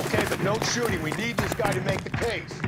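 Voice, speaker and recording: A man's voice: sits high, a little fast, loud music behind the voice, lightly acted. A man playing a cynical middle-aged American homicide cop in a game, blunt, slangy and sardonic. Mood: gruffly assured. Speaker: video game voice actor. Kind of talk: scripted detective drama acting.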